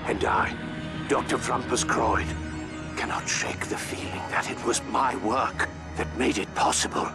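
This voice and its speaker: A man's voice: medium pitch, level tone, a little slow, vocal fry. An actor playing an earnest, eccentric scientist who narrates his diary in a video game with grandiose, dramatic precision. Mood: grave foreboding. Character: earnest, theatrical, eccentric male scientist doctor. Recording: loud music behind.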